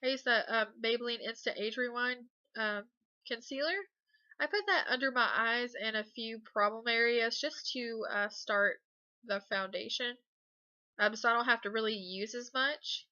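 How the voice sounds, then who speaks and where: A woman's voice: a little high, moderate pace, dry recording, dull audio. A young woman with a stuffy cold voice, chatting casually and a bit hesitantly through a makeup tutorial voice-over. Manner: relaxed, hesitant, many ums and uhs. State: congested from a cold. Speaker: beauty vlogger.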